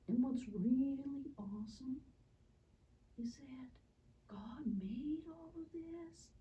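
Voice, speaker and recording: A woman's voice: sits high, dark tone, recorded from a distance, highs cut off. A woman playing a kind, enthusiastic teacher in a children's church puppet show, explaining simply and earnestly. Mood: tender, reverent.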